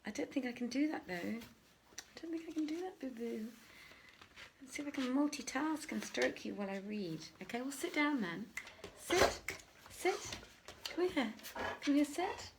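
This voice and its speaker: A woman's voice: sits high, animated, normal speed, long pauses. Chatty woman host, noisy home recording. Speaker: host and storyteller, theatre director. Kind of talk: informal welcome before a live bedtime-style reading. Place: at home, live to camera on a tripod.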